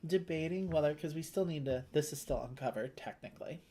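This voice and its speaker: Casual board gamer thinking out loud. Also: mid-range voice, brisk. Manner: talks through options, thinking aloud. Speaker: co-host of a board game playthrough. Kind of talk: casual chat while playing a board game.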